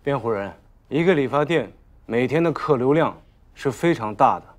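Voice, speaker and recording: An actor in his thirties playing a composed public prosecutor in a film, speaking in a measured, formal, articulate manner. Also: medium pitch, expressive, lightly acted. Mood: firm, explanatory. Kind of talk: formal courtroom reading and argument in a film.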